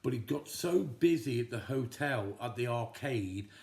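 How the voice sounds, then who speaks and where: A man's voice: mid-range voice, expressive, unhurried, vocal fry, a little mellow. A man in his fifties from Telford, chatting casually to camera as he answers viewers' questions, rambling a little with frequent restarts, recorded at home in his kitchen. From England, Telford. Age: 50s. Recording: echoey kitchen.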